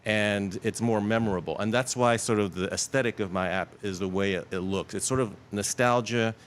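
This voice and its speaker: A man's voice: on the low side, brisk, slight background noise. A middle-aged man who grew up in Queens, New York, telling rambling anecdotes as a guest in a casual interview. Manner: rambling, self-correcting, animated anecdotes. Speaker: guest, app developer.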